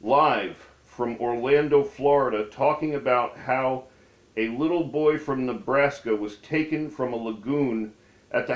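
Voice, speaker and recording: A man reading aloud from his own memoir as its author, with deliberate, halting phrasing. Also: mid-range voice. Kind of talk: read-aloud memoir narration. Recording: dull, echoey room recording.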